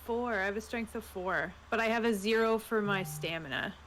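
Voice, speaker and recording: A woman's voice: medium pitch, moderate pace. A woman chatting casually as a player in a tabletop role-playing game. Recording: clearly audible background noise.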